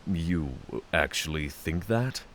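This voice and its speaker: A man acting as a giant dragon in mortal company, low-voiced with very mobile intonation. He is formal, candid and courteous, in a fantasy audio drama with hiss behind him. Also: expressive, slow pace, fairly bright tone, exaggerated voice. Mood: calm, candid. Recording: clear background hiss.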